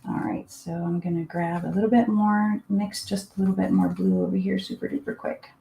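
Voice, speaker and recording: Low-voiced woman from Finland, roomy sound. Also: fairly even intonation, brisk, gravelly, warm tone, a little hiss or hum. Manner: casual, chatty, thinking aloud while painting. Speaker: art teacher. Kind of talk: live painting demonstration. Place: home desk. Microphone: live online class setup. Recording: roomy echo.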